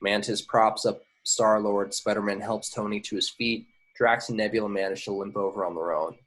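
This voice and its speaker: A man's voice: fairly low pitch, steady tone, normal speed, a bit bright, low-quality recording. A man reading screenplay stage directions aloud at an informal table read, occasionally stumbling over words. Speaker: action description reader.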